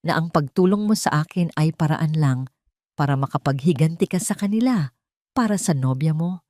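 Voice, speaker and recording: Hurt angry wife done by a lady narrator, cold n accusing, audio drama, low dry voice. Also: lively intonation, normal speed, darkish tone, no room sound. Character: betrayed pregnant woman, hurt and angry.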